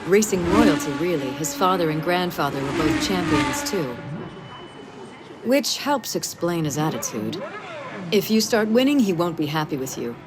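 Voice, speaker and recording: An actress playing a confident, brisk racing team boss in a video game, self-assured and wry, with a mentoring manner. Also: female voice, lowish voice, very animated, heavy background noise. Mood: informative, wry. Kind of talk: video game cutscene acting.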